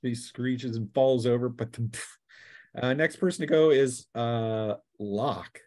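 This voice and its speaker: A man's voice: medium pitch, takes it slowly, mellow. A man acting as game master of a tabletop role-playing game, narrating casually off the cuff and throwing in vocal sound effects. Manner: acts out sound effects and cries.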